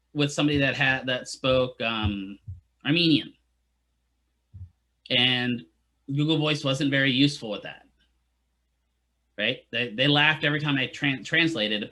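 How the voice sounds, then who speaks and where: Bright-voiced man giving a casual lecture. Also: mid-range voice. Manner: casual, asks "right?" often, jokey asides to students. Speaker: physical therapy instructor. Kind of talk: classroom lecture.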